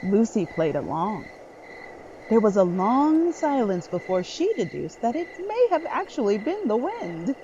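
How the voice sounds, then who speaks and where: A woman's voice: on the high side, big pitch swings, unhurried, warm tone, muffled recording, slight background noise. A woman reading scripted dramatic narration as the narrator of a story.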